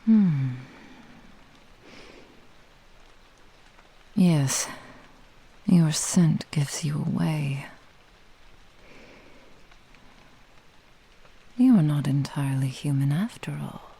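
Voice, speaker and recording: Seductive, menacing fox-spirit witch, played by a woman, for whispered ASMR: dark, gravelly, husky, slow purring threat. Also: deep voice, lively intonation, full character voice, rough recording. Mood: curious, teasing.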